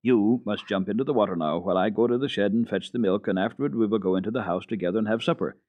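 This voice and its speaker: A man playing a wise, gruff old mountain grandfather who explains things in a measured, firm way, from an old radio recording. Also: on the low side, dry recording, narrow, tinny audio, lightly acted.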